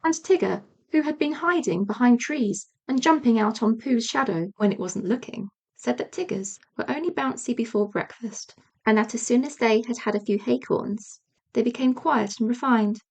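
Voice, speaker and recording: A woman's voice: on the high side, moderate pace, a little mellow. A woman narrating a children's audiobook as a scripted storyteller.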